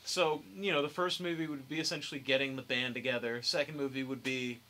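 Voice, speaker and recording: A man's voice: mid-range voice, steady tone, fairly quick, pushed and bright. A young man hosting a casual podcast discussion, with a wry, joking way of talking. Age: young adult. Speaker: podcast host.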